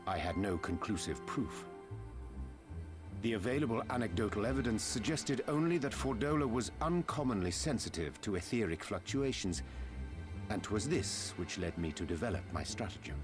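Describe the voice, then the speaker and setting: An actor playing a verbose, scholarly sage in a video game, with archaic, deliberate and elaborate diction. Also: male voice, lowish voice, lively intonation, over music, natural voice. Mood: scholarly, measured. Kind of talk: video game dialogue acting.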